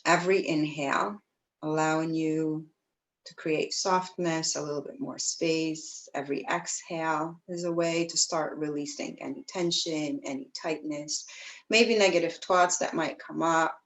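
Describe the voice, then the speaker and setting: A woman teaching yoga, guiding breathing and meditation in a gentle, soothing way, with pauses between phrases. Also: low voice, fairly even intonation, slight background noise, rough recording. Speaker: yoga teacher leading a class. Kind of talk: guided breathwork and meditation instruction.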